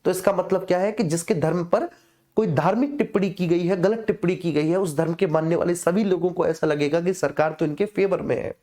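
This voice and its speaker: A woman's voice: fairly low pitch, brisk, warm tone. A woman teaching an exam coaching class informally, explaining didactically and often repeating herself to check that students follow. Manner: didactic, repetitive, checking understanding with frequent tag phrases.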